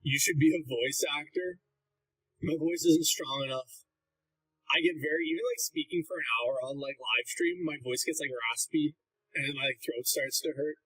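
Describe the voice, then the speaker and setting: A man's voice: on the high side, normal speed, a bit bright, husky, low-quality recording. A young man hosting a casual livestream Q&A. He reads chat questions aloud and answers them bluntly and ramblingly, with plenty of "like" fillers. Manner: blunt, rambling, heavy use of "like".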